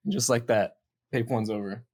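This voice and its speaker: A man's voice: medium pitch, steady tone, normal speed, noisy background. A man in a casual chat as a host, explaining things in a hesitant, rambling way. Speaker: podcast host explaining a horror series. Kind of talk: casual chat, reacting to videos.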